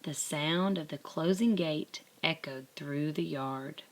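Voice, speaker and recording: A woman narrating a children's picture book aloud in a deliberate, expressive way. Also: deep voice. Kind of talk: scripted children's story narration.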